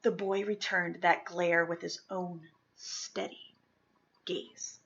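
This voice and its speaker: A woman reading a children's picture book aloud expressively, with deliberate phrasing, dramatic pauses and emphasis. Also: lowish voice, little pitch movement, a bit bright, lo-fi sound. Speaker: read-aloud storyteller.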